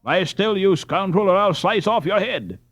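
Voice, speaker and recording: A bold young boy captain, as a male narrator puts him on, gives orders in a raised, high voice. He is self-possessed and forceful, a storybook hero recorded close with very little room sound. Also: lively intonation, normal speed, dry recording, exaggerated voice. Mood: urgent, commanding. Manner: forceful, commanding.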